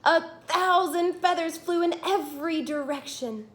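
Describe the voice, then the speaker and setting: A high-pitched woman's voice, a teacher doing a read-aloud for young children. She tells the story expressively, stretching out key phrases and leaning on them for emphasis. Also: a little slow. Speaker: teacher reading a picture book aloud.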